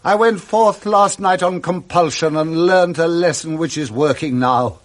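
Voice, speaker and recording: Old male miser for radio drama, played by a man: humbled, earnest, raised pitch. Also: a little slow, natural voice. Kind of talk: 1960s radio drama acting.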